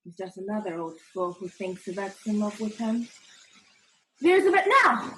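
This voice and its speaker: A woman playing a blunt, hot-tempered and jealous English gentleman in a 1940s style radio play. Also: medium pitch, sing-song, moderate pace, slight background noise, rough recording. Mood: irritated. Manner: blunt, petulant.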